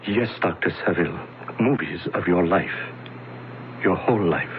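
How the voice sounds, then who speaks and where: An actor playing a calm, mysterious man who shows movies of another man's life, speaking quietly and insinuatingly. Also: sits low, moderate pace, dull audio, lightly acted. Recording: noisy old radio play recording.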